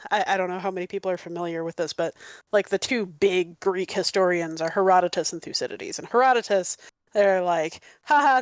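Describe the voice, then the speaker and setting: A woman who teaches history, talking in a casual panel discussion, animated and full of filler words. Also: mid-range voice, no room sound. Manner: animated, lots of "like" and restarts.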